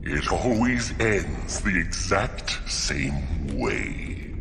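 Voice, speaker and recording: Game villain: menacing alien warlord, very low, swooping theatrical taunts. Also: male voice, sing-song, very slow, vocal fry, background noise, heavily acted. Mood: gloating, menacing. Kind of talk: video game cutscene acting. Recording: music under the voice.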